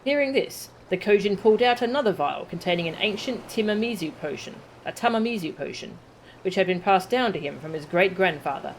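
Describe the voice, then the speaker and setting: A woman voicing an old male storyteller who loves telling tales, read aloud from game dialogue in a steady narrating tone. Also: medium pitch, a little fast, slight background noise, lightly acted, low-quality recording. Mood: storytelling.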